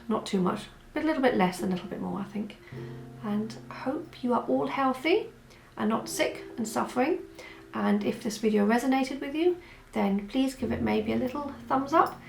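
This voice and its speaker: A woman who is hoarse from a cold, vlogging in a chatty, rambling way with many asides. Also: medium pitch, darkish tone. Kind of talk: casual chat. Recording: roomy echo with faint music.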